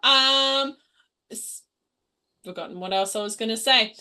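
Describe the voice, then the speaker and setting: A woman's voice: a little high, measured pace, bright, forceful tone. A giggly, playful woman, chatting casually as a player on a game stream. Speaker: player on a streamed tabletop RPG. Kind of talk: casual chat.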